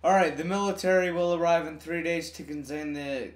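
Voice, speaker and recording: A man's voice: fairly high pitch, fairly even intonation, fairly quick, reverberant, slight background noise. A man hosting a gaming video with casual co-op commentary, sometimes reading game text aloud.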